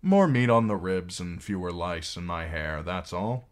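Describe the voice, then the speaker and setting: Man playing a wry, low-voiced knight commander. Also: unhurried. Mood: wry, cynical. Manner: dry, sardonic, commanding. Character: wry, bitter, maimed knight commander, cynical and blunt.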